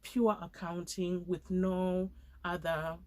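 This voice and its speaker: A woman's voice: lowish voice, little pitch movement, slow pace, a little mellow. Earnest young woman from Zimbabwe. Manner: earnest storytelling, acts out quoted speech. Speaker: guest, accountant. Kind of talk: interview answers.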